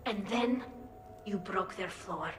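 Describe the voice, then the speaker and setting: An actress playing an earnest, playful young female alien in a video game, with a wistful, gently scolding manner. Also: medium pitch, slow pace, roomy sound, a little hiss or hum, natural voice. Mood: wistful, reproachful.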